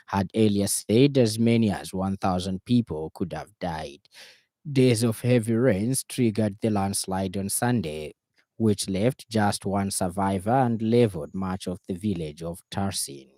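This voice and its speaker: A Zambian man reads the radio news from a studio. His voice is on the low side and notably mellow. He keeps a formal, measured delivery suited to a scripted bulletin. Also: dry recording.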